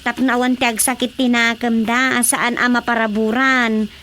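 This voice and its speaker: A woman's voice: on the high side, flat delivery, normal speed, bright, forceful tone, slight background noise. A woman with an Ilocano accent playing an earnest, dutiful young village daughter in a radio drama.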